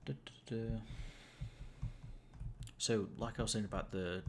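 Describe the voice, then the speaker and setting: A man's voice: on the low side, steady tone, takes it slowly, noisy background. A young man chatting casually to stream viewers while gaming, rambling and amused at himself, with many fillers and restarts. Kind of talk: casual gameplay chat to viewers.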